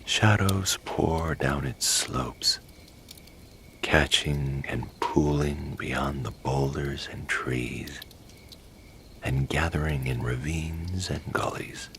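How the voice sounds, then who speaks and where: A man narrating a scripted bedtime story in a breathy, near-whispered, hushed and lingering way. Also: rumbling low, slow pace, a little hiss or hum.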